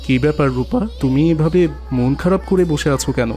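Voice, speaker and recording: Guy playing a sly doting husband in a cartoon, coaxing, slightly high voice, faint music. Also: a little fast, close to an everyday voice. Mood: concerned. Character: indulgent, scheming young husband soothing his wife. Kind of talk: Bengali family cartoon voice acting.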